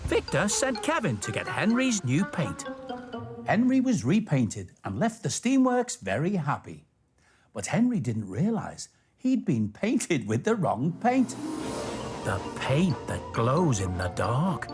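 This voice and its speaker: Man, children's storyteller, animated swooping intonation, direct address, scripted presenting, background music. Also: fairly high pitch, slow. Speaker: children's storytime host, station worker character. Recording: music behind the voice.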